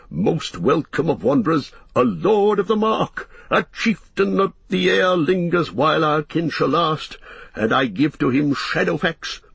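A man's voice: on the high side, vocal fry, fairly bright tone, husky, no room sound, pitched above the performer's own voice, heavily acted. A man voicing a regal old king from a fantasy storybook, declaiming grandly and ceremonially.

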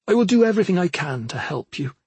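A male narrator plays a young woman in a fantasy tale, an earnest and determined sister. The voice sits well above a man's usual pitch and sounds imploring. It is recorded dry and close. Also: very animated, fast talker, gravelly, a little mellow, slightly rough, no room sound, full character voice. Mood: gentle, imploring. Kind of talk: fantasy audiobook character acting.

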